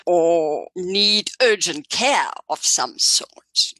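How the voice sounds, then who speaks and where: A woman who immigrated to Canada, with an audible accent, hosting an interview and asking measured, thoughtful questions. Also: lowish voice, expressive, fairly bright tone. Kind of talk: conversational interview.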